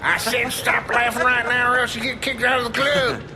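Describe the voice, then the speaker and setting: A man's voice: very high voice, little pitch movement, normal speed, noisy background, pitched above the performer's own voice. A man voicing a pompous, blustering gang leader in an affected, mock-formal way for an audio drama. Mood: bossy, indignant. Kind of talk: audio drama villain speech.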